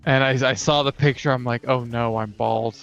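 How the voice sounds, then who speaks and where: Smooth, gravelly-edged man, fairly even delivery. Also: medium pitch, moderate pace, soft background music. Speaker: podcast co-host. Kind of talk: casual chat. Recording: slightly dull, lo-fi microphone sound.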